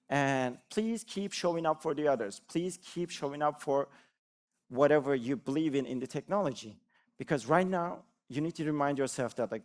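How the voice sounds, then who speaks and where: A man from Turkey, Turkish being his native tongue, speaks to a conference audience of developers. His voice sits in an ordinary middle pitch, and his delivery is earnest and encouraging. He often restarts words and leans on "like" as a filler. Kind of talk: conference talk.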